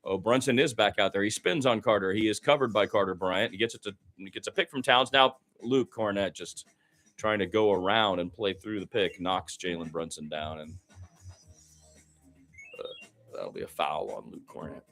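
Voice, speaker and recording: A man commentates live basketball for radio. His voice sits fairly low and moves in short, clipped phrases, climbing when the action heats up. Faint music plays behind him. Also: moderate pace, a bit bright.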